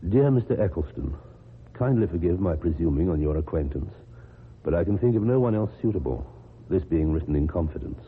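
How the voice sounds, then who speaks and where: Low-voiced, reserved British ex-convict. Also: male voice, husky, full character voice. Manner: formal, measured, precise speech. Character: reserved, lonely, well-educated male ex-convict, kindly but wary. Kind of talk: British radio drama acting. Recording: muffled, noisy old radio recording.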